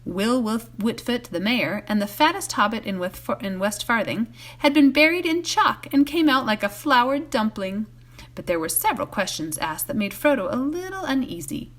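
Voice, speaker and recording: A woman reading a storybook aloud as a volunteer, expressive, occasionally stumbling and correcting herself. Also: fairly high pitch, moderate pace. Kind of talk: read-aloud storybook narration.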